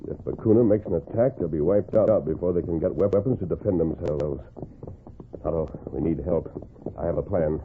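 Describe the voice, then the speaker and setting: An actor playing a masked heroic western lawman in 1940s radio style, speaking with firm, earnest, measured authority. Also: low voice. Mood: grave, firm. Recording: tinny, noisy 1940s radio recording.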